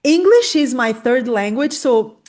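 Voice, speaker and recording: A woman's voice: on the high side, measured pace. A woman who grew up speaking Portuguese and Spanish, hosting a podcast interview and talking openly and expressively. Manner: expressive, talks through her feelings openly. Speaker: podcast host. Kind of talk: conversational interview.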